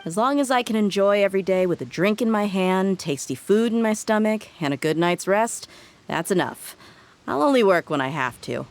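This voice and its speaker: A woman's voice: medium pitch, animated, normal speed, natural voice. A woman playing a tough, plainspoken young female mercenary with a casual, assured manner in a video game. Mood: easygoing, wry. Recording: faint game ambience behind.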